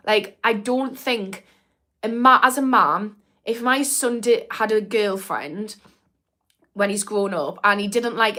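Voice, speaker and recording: A woman's voice: medium pitch, bright, forceful tone. Frank chatty woman with a Newcastle accent. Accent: mild northern English (Newcastle). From Newcastle, England. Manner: frank, chatty, lots of "like" fillers. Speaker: mindset livestream host and former therapist. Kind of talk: casual livestream chat reading comments.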